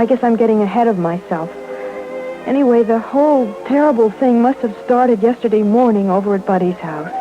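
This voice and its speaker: A woman's voice: fairly high pitch, lightly acted. Woman playing a gushing, lilting teenage girl. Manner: hesitant, breaking off and restarting. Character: gushing, naive teenage girl narrating her story. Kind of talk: 1960s radio drama acting. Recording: dull vintage radio, music behind.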